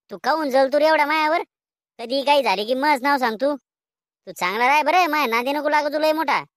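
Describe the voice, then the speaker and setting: A woman's voice: high-pitched, a bit bright, dry recording, studio quality. A woman voicing a cheeky, quarrelsome village schoolboy in a comedy cartoon, with rural Maharashtrian slang and a taunting, argumentative way of talking.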